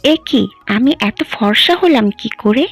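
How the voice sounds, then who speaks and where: A woman's voice: on the high side, animated, measured pace, loud music behind the voice. A woman voicing a sad, lonely young village girl in a cartoon, plaintive in tone.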